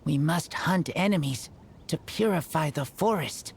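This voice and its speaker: A man's voice: fairly high pitch, exaggerated voice. An actor voicing a wild young man raised by wolves, speaking in clipped, broken sentences. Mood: grave. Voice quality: clipped broken speech, slow and gruff. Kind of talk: game character dialogue.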